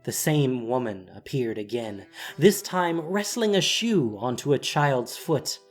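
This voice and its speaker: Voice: mid-range voice, lively intonation, a little slow, soft background music. A narrator reading a scripted, dramatic story for an audio drama.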